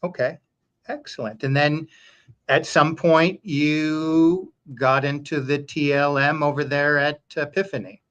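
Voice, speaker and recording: A man's voice: on the high side, steady tone, unhurried, fairly bright tone, muffled recording. A man hosting an interview, asking brief questions and giving short acknowledgments. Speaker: interview host.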